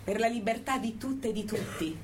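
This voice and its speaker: A woman's voice: fairly high pitch, moderate pace, a little hiss or hum, natural voice. An actress playing a proud, angry imprisoned activist in her thirties, declaiming a political theatre monologue with rhetorical emphasis. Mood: bitter, resolute. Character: defiant jailed activist woman of thirty-three, proud and angry.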